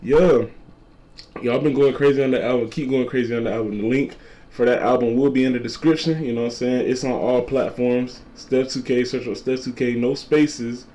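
A twenty-year-old man with a deep voice, a content creator talking casually to camera and repeating his points. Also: fairly even intonation, normal speed, warm tone. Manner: repetitive, laid-back slangy talk, repeats lines. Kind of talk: casual vlog talk to camera.

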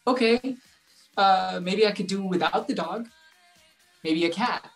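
A woman's voice: mid-range voice, measured pace, faint music behind, lightly acted. A young woman playing a dejected, wry grown-up son in a play reading over a video call. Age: young adult. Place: room at home, indoors.

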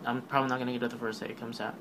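Casual male narrator, monotone, rambling, noisy home voice-over. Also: medium pitch, rapid-fire. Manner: offhand, rambling, filler-heavy. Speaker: tech video narrator. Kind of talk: casual voice-over recap of a keynote.

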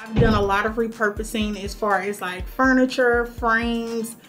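A woman's voice: on the high side, fairly even intonation, measured pace, a bit bright, soft background music. A woman speaking casually as an influencer guest in an interview.

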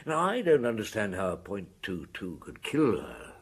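A man's voice: on the low side, wildly swooping pitch, breathy edge, exaggerated voice. An older man acting in a British radio drama as an ageing detective, dry, patient and probing, with thoughtful hums. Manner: measured, questioning, with thoughtful hums.

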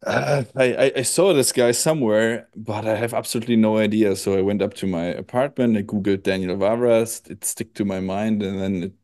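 A man in his thirties from Germany with a German accent, answering interview questions on a video call in a relaxed, hesitant way with many fillers. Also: lowish voice, a little fast, few pauses. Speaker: studio PR manager. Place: small room indoors. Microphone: headset on a video call.

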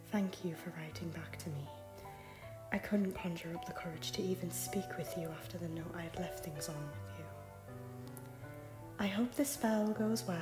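A woman playing a solemn, wistful noblewoman in an animated drama, speaking in hushed, breathy, formal poetic phrases. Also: lowish voice, lightly acted. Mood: tender, sorrowful. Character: solemn, wistful noblewoman nursing lost love. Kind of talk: dramatic animated-film voice acting. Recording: music under the voice.

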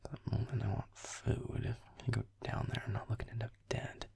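A man playing a grumpy, sarcastic werewolf boyfriend in an audio roleplay, muttering dry game commentary in a husky, whispery voice. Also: fairly even intonation, quick pace, warm tone, dry recording, heavily acted. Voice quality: husky, gravelly, whispery low voice.

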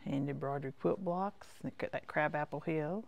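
A woman's voice: deep voice, moderate pace, vocal fry. A woman giving a casual, chatty shop tour in short folksy remarks that trail off, recorded as she walks around indoors. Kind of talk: casual rambling tour commentary. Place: indoors, walking through a quilt shop.

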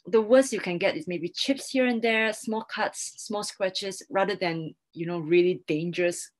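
A young woman explains things as a webinar expert, halting and repeating words as she goes. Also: medium pitch. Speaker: interior design expert guest. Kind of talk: webinar question-and-answer, explaining. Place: small indoor room, video call.

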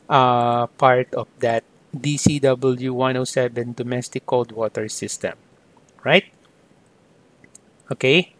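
A man teaching software step by step in an explanatory screen-recorded lesson, often starting sentences with "so". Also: medium pitch. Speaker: software tutorial instructor.

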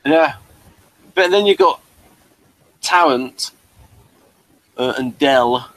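A man's voice: medium pitch, very slow, fairly bright tone, poor audio. A man chatting casually as he co-hosts a review podcast. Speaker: podcast co-host.

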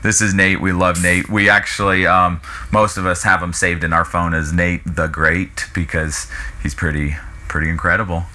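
A man's voice: deep voice, little pitch movement, normal speed, bright, forceful tone. An American man in his thirties hosting a casual vlog with enthusiasm, often repeating phrases for emphasis. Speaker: show host from an RV dealership. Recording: noticeable background noise.

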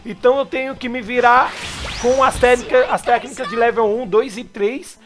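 A hoarse man with a Brazilian Portuguese accent, commenting excitedly on his own soccer game and calling out special moves. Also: very high voice, moderate pace. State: hoarse from a cold. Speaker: gaming YouTuber. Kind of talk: let's play commentary. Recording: game music behind. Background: game music.

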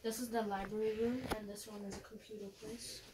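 Chatty kid giving a house tour. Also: female voice, mid-range voice, little pitch movement, very noisy. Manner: chatty, halting with fillers and restarts. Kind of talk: casual home tour vlog. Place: inside a house. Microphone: handheld phone camera.

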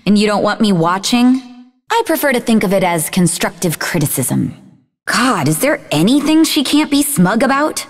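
An actress voicing a sarcastic, sharp-tongued, bookish young half-demon woman in scripted video game dialogue, with a dry delivery. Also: medium pitch, big pitch swings, normal speed, long reverb tail. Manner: dry, sardonic.

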